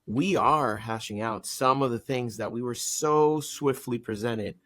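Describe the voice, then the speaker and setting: A man's voice: mid-range voice, unhurried, fairly bright tone, no room sound. Puerto Rican man, dry close sound. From Puerto Rico. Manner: enthusiastic, agreeable backchanneling. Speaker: podcast guest. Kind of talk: casual podcast conversation.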